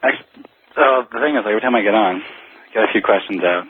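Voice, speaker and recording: Nervous, husky man on a phone line. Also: on the low side, quick pace. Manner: nervous, scattered. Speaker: radio show caller. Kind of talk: casual phone-in conversation. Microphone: telephone. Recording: tinny, muffled phone line.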